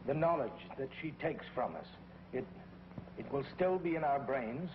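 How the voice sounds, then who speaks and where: An actor playing an earnest, indignant space commander in a 1950s TV drama, asking urgent questions with emphasis. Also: male voice, medium pitch, animated, moderate pace, slight background noise, natural voice. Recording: dull 1950s TV soundtrack with music.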